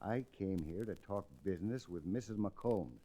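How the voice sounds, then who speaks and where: Deuce, sly, swooping cattle baron. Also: male voice, low voice, animated, moderate pace, dark tone. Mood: falsely polite. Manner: scheming, falsely reasonable, gloating. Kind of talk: old-time radio Western acting.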